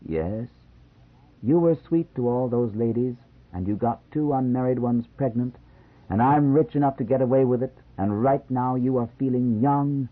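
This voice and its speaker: Brief: man reading as a playful coaxing wife, raised husky voice, tinny recording. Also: mid-range voice, moderate pace, pitched above the performer's own voice, natural voice. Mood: affectionate teasing. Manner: coaxing, teasing. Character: affectionate, playful older wife, woman. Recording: tinny phone-like lo-fi sound.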